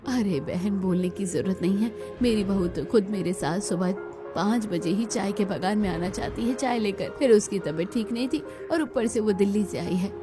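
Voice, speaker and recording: A woman's voice: medium pitch, normal speed, close to an everyday voice. A woman voicing an older village mother-in-law, proud, practical and kindly, in a cartoon story. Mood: proud, defensive. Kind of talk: scripted cartoon story dialogue. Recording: music behind the voice.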